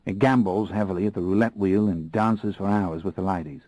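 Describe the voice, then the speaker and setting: A man voicing a tall, alert, suspicious Australian fixer with an Australian accent and a brisk, businesslike manner. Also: low voice, warm tone, no room sound, lightly acted, rough recording.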